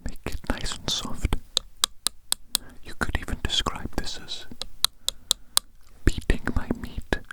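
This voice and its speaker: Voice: slow, pauses often, close to an everyday voice. Whispering, politely greedy alien, acted out by a performer. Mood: playful, attentive. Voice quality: breathy unvoiced whisper. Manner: repeats phrases, mock-civilized and coaxing. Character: polite, greedy alien eager to eat a human. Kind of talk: whispered ASMR roleplay.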